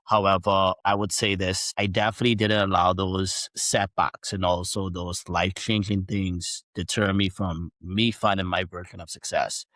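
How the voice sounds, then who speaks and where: Earnest young Bahamian man, lowish voice. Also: flat delivery, normal speed, few pauses, fairly bright tone, no room sound. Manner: earnest, long rambling sentences with casual fillers. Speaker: podcast guest, trader. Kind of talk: casual interview storytelling.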